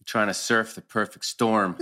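A man's voice: fairly low pitch, fairly even intonation, unhurried, bright, forceful tone. A man playing a conciliatory, wry, earnest middle-aged husband in a TV drama table read.

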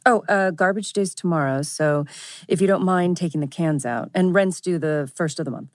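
A woman's voice: on the low side, normal speed, no echo, close to an everyday voice. An actress playing an easygoing young woman in a table read of a teen drama. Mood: casual. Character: easygoing young woman living with her girlfriend.

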